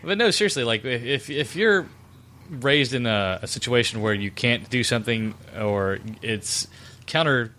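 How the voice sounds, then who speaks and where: A man's voice: mid-range voice, moderate pace, bright, forceful tone. A man on a podcast, joking crudely in loose casual chat.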